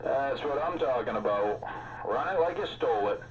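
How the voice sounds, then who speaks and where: A man's voice: mid-range voice, lively intonation, normal speed, noisy background. Talkative joking man on radio chat. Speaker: regular on a CB radio stream. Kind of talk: casual chat.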